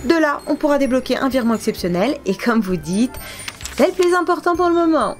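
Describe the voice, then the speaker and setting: A woman's voice: high-pitched, lively intonation, a little fast, soft background music. A woman playing a fussy, procedure-bound bank adviser in an amateur audio comedy, polite and officious with slight hesitations. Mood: brisk, dismissive. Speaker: voice actor.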